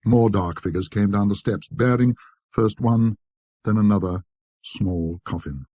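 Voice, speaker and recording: A man narrating a classic ghost story in a precise, scholarly, measured manner, with a husky, raspy voice. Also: on the low side, dark tone, no room sound. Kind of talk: dramatic scripted storytelling.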